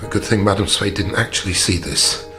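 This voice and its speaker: A man taking part in a tabletop roleplaying game as a player, asking and describing things in a deliberate, methodical way. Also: fairly low pitch, over music.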